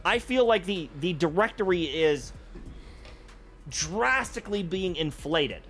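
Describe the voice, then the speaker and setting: Male streamer, high lively voice, methodical and talky, explains trends. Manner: measured, explains with examples and numbers. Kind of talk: casual analytical chat.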